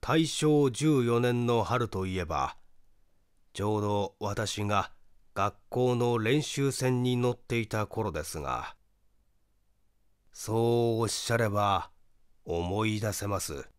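A man voicing a reserved, grieving brother in an audiobook, speaking politely and hesitantly in measured phrases. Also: on the low side, clear, resonant tone, no echo, clean studio sound. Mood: hesitant, recalling. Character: reserved, grieving young man recalling his dead sister. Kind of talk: audiobook character dialogue.

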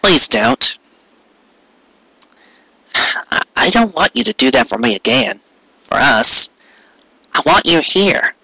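A storyteller voicing a gentle, defeated young male pony who speaks softly and pleadingly. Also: medium pitch, sing-song, vocal fry, breathy edge, no echo, pitched above the performer's own voice, heavily acted, poor audio. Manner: soft, halting, pleading. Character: gentle, lovesick, defeated young stallion baker.

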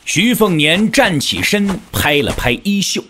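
A man's voice: high-pitched, unhurried, slight background noise. A man narrating a martial-arts story in a theatrical, scripted storytelling style. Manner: theatrical, expressive.

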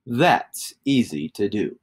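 Man putting on a slow-talking, mysterious magical shapeshifter. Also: lowish voice, sing-song, husky, pitched below the performer's own voice, exaggerated voice. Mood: obliging, knowing. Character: mysterious shapeshifter, obliging magical wish-granter.

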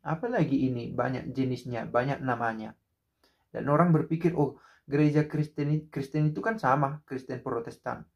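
A man's voice: mid-range voice, highs cut off. A man in his thirties giving casual spoken commentary to the camera in a small room, reflective and full of rhetorical questions. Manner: reflective, rhetorical, many fillers and restarts. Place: small indoor room by a window.

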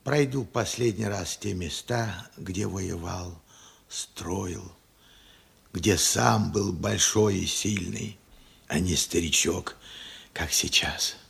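An actor playing a regretful, stubborn old working man in a radio play, plain-spoken and reflective, with halting speech. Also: deep voice, fairly bright tone, heavily acted. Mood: weary, resolved. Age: elderly. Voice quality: husky, creaky old voice. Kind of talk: Soviet radio play acting.

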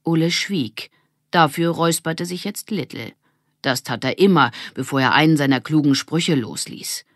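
A woman reading a children's audiobook as an expressive scripted narrator. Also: deep voice, normal speed, dry recording, studio quality.

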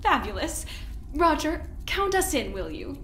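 Audio drama villainess: high-voiced research director, polite but cold, heard over clear background noise. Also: female voice, very animated, a little slow, bright, forceful tone, full character voice. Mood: sweetly condescending. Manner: condescending, theatrically polite. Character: imperious woman research director, falsely sweet, coldly menacing. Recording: roomy, noisy background ambience.

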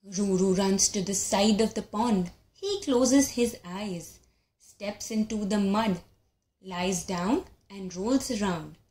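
A woman storyteller reading a picture book aloud in an expressive, animated way for young children. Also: medium pitch, slow pace, slight background noise. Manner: expressive, acts out animal calls and sounds. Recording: roomy, echoey sound.

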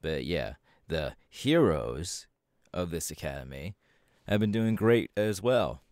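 A man playing a proud, laid-back superhero mentor in an ASMR audio roleplay, talking casually with drawling, hesitant pauses. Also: sits low, big pitch swings, a little mellow, dry recording, clean studio sound, heavily acted. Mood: casual, explaining.

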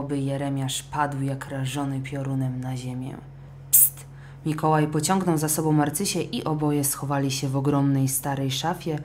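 A woman narrating a scripted children's audio story in an expressive, dramatic way. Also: low voice, slight background noise.

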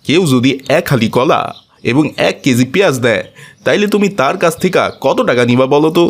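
A man's voice: on the high side, animated, brisk. A man as a humble Bangladeshi fruit-seller father. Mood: proud, affectionate. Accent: colloquial Bangladeshi. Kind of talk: animated cartoon dialogue.

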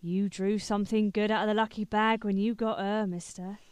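An actress in a radio play voicing a destitute, bitter vagrant woman who was once well-off, with a husky voice. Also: medium pitch, little pitch movement, moderate pace, a little mellow, no echo, lightly acted. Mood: subdued, grateful.

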